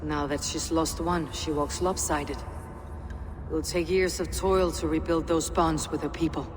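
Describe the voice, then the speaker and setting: An actress playing a loyal Viking warrior woman in a video game, speaking in a terse, solemn, measured way. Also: low-pitched, steady tone, background noise. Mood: grave, resolute. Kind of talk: dramatic video game acting.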